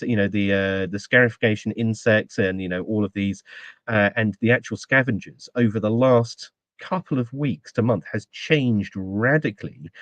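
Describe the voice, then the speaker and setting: A man's voice: fairly low pitch, dry recording, muffled recording. A man hosting a podcast in casual chat, rambling with many ums and restarts before bringing on a guest. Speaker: podcast host.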